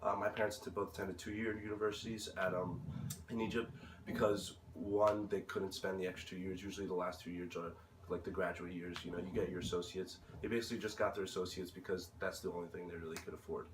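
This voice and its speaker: A young American man with a fairly low voice gives informal interview answers. He speaks hesitantly and searches for words. The recording is roomy and distant from the microphone, with faint noise. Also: flat delivery, quick pace. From United States.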